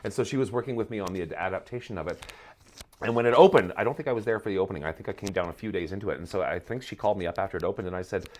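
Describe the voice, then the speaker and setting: A man's voice: sits low, racing, darkish tone. A man who is a playwright and performer, talking casually as a podcast guest with playful exaggeration. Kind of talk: casual, animated interview chat.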